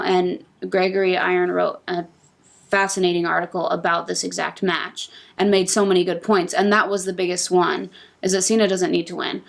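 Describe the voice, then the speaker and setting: A woman's voice: fairly low pitch, creaky. A woman on a podcast panel, casually explaining her predictions in an earnest way. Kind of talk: casual wrestling prediction talk.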